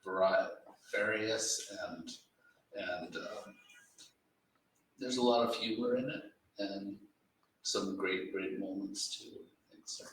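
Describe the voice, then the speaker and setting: A man moderating a live literary event with casual, halting remarks to the audience, often repeating words. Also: lowish voice, fairly even intonation, fairly bright tone. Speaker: editor and moderator of a live reading. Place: large indoor library room at a live event. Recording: very echoey room, distant mic, faint noise.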